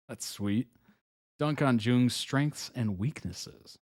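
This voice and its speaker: A man's voice: medium pitch, very slow, darkish tone. A man hosting a live reaction video, reading captions aloud and adding amused, casual comments with frequent laughs. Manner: reads on-screen captions aloud, then reacts with amused asides; laughs often between remarks.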